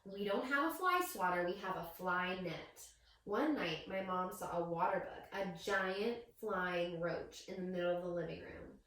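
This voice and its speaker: A woman reading a picture book aloud to young children as a teacher, with expressive storytelling and questions to her listeners. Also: fairly low pitch, moderate pace. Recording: very echoey room, distant microphone.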